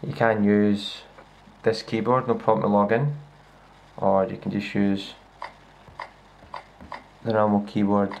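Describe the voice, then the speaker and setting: A man with a Scottish accent gives a casual, hands-on demo of a laptop, talking in a relaxed way with lots of fillers and restarts, recorded on a handheld camera indoors. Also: lowish voice, takes it slowly, long pauses, poor audio. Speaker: tech reviewer. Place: indoors at a wooden table. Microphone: handheld camera filming a laptop screen.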